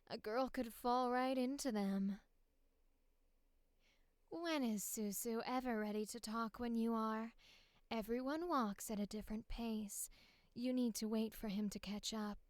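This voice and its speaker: A woman voicing a flamboyant, flirtatious director in their forties, theatrical and coy with drawn-out, cartoonish delivery. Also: a little high, no echo. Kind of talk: exaggerated cartoonish audition take.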